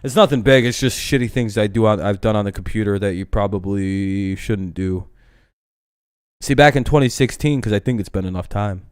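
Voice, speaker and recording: A man's voice: on the low side, normal speed, clean tone, no echo, studio quality. A man in his twenties chatting casually on a livestream, rambling and profane, often stopping to restart his sentences. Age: 20s. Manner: rambling, profane, self-interrupting. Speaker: livestream host.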